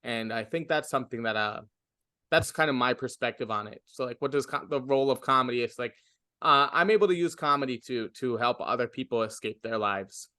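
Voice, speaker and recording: A young man talks things through slowly in a relaxed interview, searching for his words and starting over often. His voice sits at an ordinary middle pitch. The sound is very dry and close. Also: dry recording. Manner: reflective, halting, full of fillers and restarts. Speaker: comedian. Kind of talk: casual interview.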